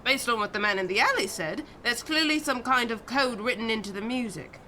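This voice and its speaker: A woman's voice: a little high, fairly quick, bright, forceful tone, slight background noise. An actress playing a skeptical young woman investigator who reasons insistently.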